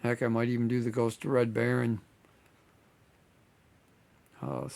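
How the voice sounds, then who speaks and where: A man, hosting his model-building channel in a relaxed, rambling way, slightly hoarse as he is losing his voice. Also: medium pitch, a little slow. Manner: relaxed, rambling, many fillers. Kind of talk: casual chat to viewers.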